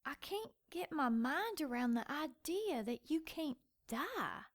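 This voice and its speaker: An actress playing a skeptical, unsettled woman companion to an investigator, speaking deliberately in an audio drama. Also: sits high, sing-song, heavily acted. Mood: disbelieving.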